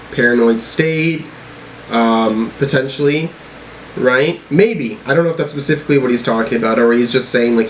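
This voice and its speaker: A man talks casually through his reactions as a music review host. His delivery is halting and rambling, as if thinking aloud, at an ordinary medium pitch. There is a faint noise behind his voice. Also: low-quality recording. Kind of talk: casual album reaction commentary.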